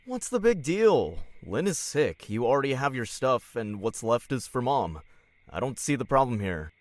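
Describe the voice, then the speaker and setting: A man's voice: medium pitch, big pitch swings, normal speed, dry recording, lightly acted. A man playing a blunt, laid-back teenage gamer son in an audio drama, talking in offhand slang. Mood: dismissive.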